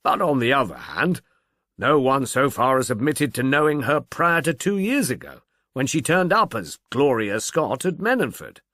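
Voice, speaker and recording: A man's voice: mid-range voice, very animated, moderate pace, fairly bright tone, no echo. A man voicing a sceptical, exasperated policeman in an audiobook, precise and grudging. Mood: conceding. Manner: dry, complaining, precise.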